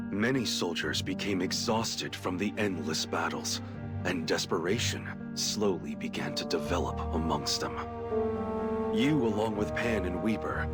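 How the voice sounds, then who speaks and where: An actor playing a grave storyteller, giving solemn scripted narration for a fantasy video game. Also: male voice, sits low. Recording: narration under loud music.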